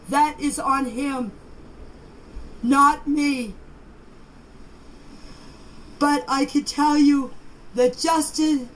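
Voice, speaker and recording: High-pitched, slow-talking mature woman. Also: poor audio. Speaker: private person giving her account in voice clips. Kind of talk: informal spoken personal account. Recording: amateur voice clips with background noise.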